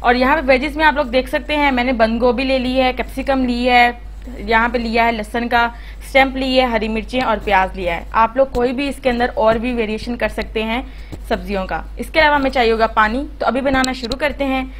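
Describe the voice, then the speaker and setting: A woman's voice: a little high, brisk. A woman hosting a home cooking channel, explaining a recipe step by step in a chatty, instructive voice-over full of fillers.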